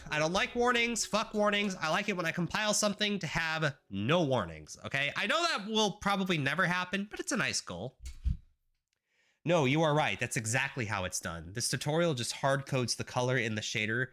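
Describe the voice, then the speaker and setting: A stuffy, nasal man talks through his code on a live stream, rambling and joking with his chat. His voice sits fairly high and swoops around animatedly. Soft music plays underneath. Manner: chatty, rambling, wry asides to chat. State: congested, nasal. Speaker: livestreamer learning graphics programming. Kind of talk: casual live-coding stream commentary.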